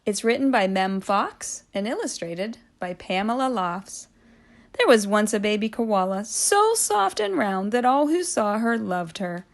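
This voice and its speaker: A woman reads a children's story aloud at a very unhurried pace, in a mid-range voice. She phrases deliberately and expressively, stretching words and shifting between hushes and swells for drama. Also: mid-range voice, very animated. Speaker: storybook reader.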